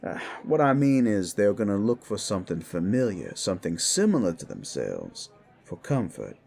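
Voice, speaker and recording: A man playing a laid-back, streetwise werewolf mentor in an audio drama, explaining things in a measured, wry way. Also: fairly low pitch, very animated, creaky, darkish tone, slightly rough, exaggerated voice. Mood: instructive.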